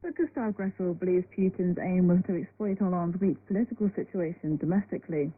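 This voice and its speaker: A woman's voice: on the low side, a little fast. A woman reading a scripted news report as a radio reporter. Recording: narrow, noisy shortwave radio broadcast.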